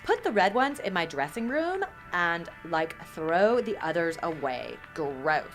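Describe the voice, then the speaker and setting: A woman's voice: lowish voice, sing-song, measured pace, faint music behind. An actress playing a snooty, nasty young pageant rival in an audio drama, with haughty valley-girl phrasing. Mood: disdainful.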